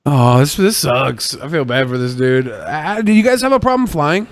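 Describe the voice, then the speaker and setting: Slightly high-voiced man joking casually. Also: lively intonation, normal speed. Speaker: podcast co-host. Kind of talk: casual, crude joking chat.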